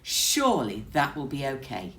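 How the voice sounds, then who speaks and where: A woman's voice: rumbling low, wildly swooping pitch, moderate pace, fairly bright tone, heavily acted. A woman voicing a grumpy, stern male bear in a children's storybook, declaring and scolding as it speaks. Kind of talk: children's storybook read-aloud.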